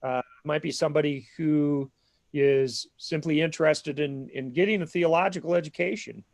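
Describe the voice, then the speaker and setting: A man with a Minnesota accent, an academic dean explaining a degree program in an online info session, measured and full of small pauses. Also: a little high, darkish tone, dry recording. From southern Minnesota.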